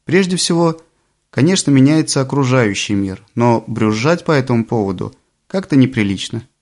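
A man narrates an audiobook in a calm, deliberate way. His voice sits at a middle pitch for a man, and he slows down on the phrases he wants to stress. The delivery stays even and composed throughout.

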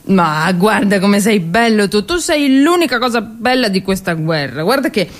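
Woman acting a drunk, halting trench soldier woman. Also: mid-range voice, very animated, natural voice. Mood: confiding, rambling. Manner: slurred, halting, tipsy rambling. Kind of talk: theatrical dramatic monologue.

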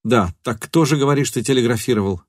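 A man voicing a bewildered, remorseful lover in a story, speaking in a hushed, sorrowful, pleading way. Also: mid-range voice, fast, no room sound, studio quality, close to an everyday voice. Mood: resigned.

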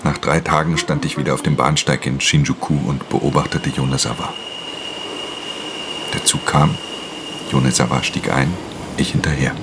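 A man's voice: level tone, normal speed, pauses often, a crowd in the background. An actor playing a weary, hard-edged thief in a radio drama, speaking in a terse, subdued way as he narrates and talks. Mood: detached. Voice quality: very deep, gravelly. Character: weary, hard-edged male thief narrating his own crime story. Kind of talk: radio drama, first-person narration and dialogue.

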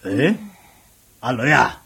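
An actor playing a doting, fussy older husband in a Cantonese radio drama, with a Hong Kong accent and a coaxing manner. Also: male voice, mid-range voice, animated, creaky, bright, forceful tone, slightly rough, exaggerated voice. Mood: bossy, jovial. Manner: chuckling, coaxing, drawn-out particles and repeated interjections.

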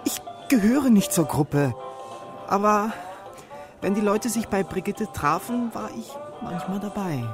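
An actor playing a worried young male student in a radio crime play, talking in an uneasy, colloquial way with a husky voice. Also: sits high, expressive, measured pace, over music. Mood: agitated, worried. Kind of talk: German radio play dialogue.

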